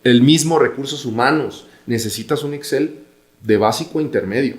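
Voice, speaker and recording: A man in his forties with a Mexican accent, giving career advice as an interview guest in a measured, explanatory way. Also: mid-range voice, roomy sound. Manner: measured, explanatory, repeats phrases for emphasis.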